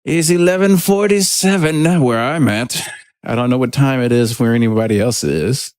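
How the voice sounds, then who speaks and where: A man with a deep voice chatting casually on a livestream while he makes music, laid-back and rambling as he reads viewer comments. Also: moderate pace, darkish tone, clean tone, no room sound, clean studio sound. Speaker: music producer streaming his session.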